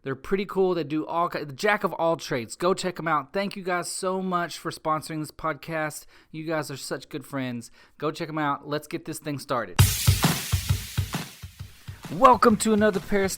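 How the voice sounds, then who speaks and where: A man's voice: on the high side, a bit bright. An American man hosting a podcast, chatting in a casual, informal and upbeat way as he interviews and encourages. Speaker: podcast host.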